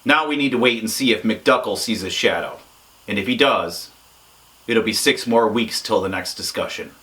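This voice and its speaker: A man playing a gruff, stubborn police negotiator boss with a curt, dismissive manner in a comedy sketch. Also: on the low side, moderate pace, bright, forceful tone.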